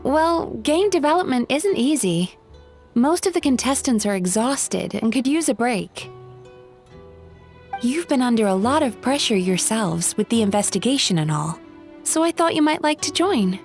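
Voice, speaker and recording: A sociable, helpful young woman for a video game. She is polite and kindly, high in pitch with lively swings, over faint music. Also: normal speed. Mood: cheerful, casual. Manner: polite, expressive.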